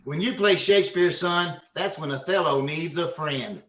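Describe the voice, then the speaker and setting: A man's voice: a little high, moderate pace, fairly bright tone, natural voice. A man playing a blustery, wisecracking, bumbling husband with folksy slang in a 1940s-style radio comedy over a video call. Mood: sarcastic. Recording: noisy echoey video call, distant mic.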